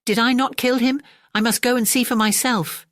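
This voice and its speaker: Narrator doing a brutish greedy peasant, raised pitch. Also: fairly even intonation, normal speed, fairly bright tone, dry recording, studio quality, lightly acted. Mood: astonished. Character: greedy, gullible, brutish male peasant villain.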